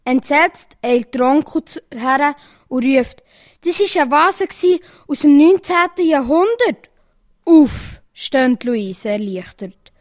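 High animated woman with a Swiss accent. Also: slow pace, dry recording, studio quality. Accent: Swiss German. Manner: animated storytelling, acting out quoted lines. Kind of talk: reading jokes aloud.

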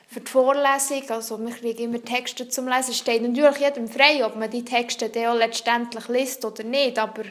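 Young female student, high voice, French; earnest and explanatory, searching for words in an interview. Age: young adult. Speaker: psychology student. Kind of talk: answering interview questions.

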